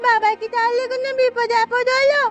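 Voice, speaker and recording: Lady doing a really high little village girl, anxious n pleading, cartoon style, music behind. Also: fairly even intonation, a little fast. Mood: anxious, questioning. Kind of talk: Bangla fairy tale cartoon voice acting.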